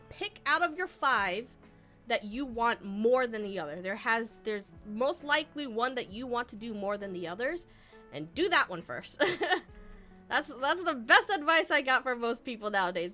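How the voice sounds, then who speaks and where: A young woman streamer, Vietnamese by first language, talks to her chat in a bright, slightly high voice. She gives earnest, rambling advice, stopping to restart her sentences, while faint music plays behind her. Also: very animated, normal speed.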